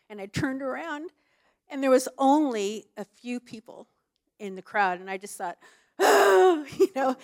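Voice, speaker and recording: A woman speaking casually about her church work, her voice sitting at a medium pitch. She talks frankly and loosely, with plenty of fillers. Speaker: church ministry leader. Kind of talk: casual chat.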